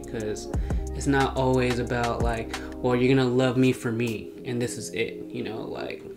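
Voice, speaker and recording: A young man co-hosting a couple Q&A, speaking in an even, mid-range voice. He sounds calm and reflective as he gives earnest advice. Music plays clearly behind him. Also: medium pitch, normal speed. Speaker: co-host, husband. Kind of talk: casual couple Q&A chat.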